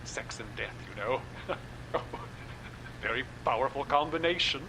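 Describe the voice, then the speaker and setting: A genial horror producer who savors every spooky word. His voice rises and falls freely, and a steady noise hangs under the recording. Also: mid-range voice, unhurried. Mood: wry. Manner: theatrical, relishing spooky talk. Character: genial male horror-drama host being interviewed. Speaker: radio drama producer guest.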